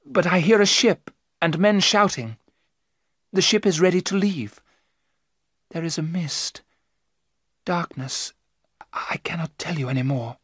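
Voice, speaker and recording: A man reading aloud, voicing a brave, spellbound young woman in an earnest, quiet, resolute way, a little higher than his own voice. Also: animated, takes it slowly, long pauses, breathy edge, no room sound, clean studio sound, exaggerated voice. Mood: dazed trance.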